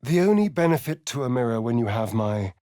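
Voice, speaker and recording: A man's voice: mid-range voice, very animated, brisk, mellow, close to an everyday voice. A man playing a vain, sardonic vampire elf. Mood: wry. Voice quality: arch, theatrical, sly and teasing. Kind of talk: game voice lines.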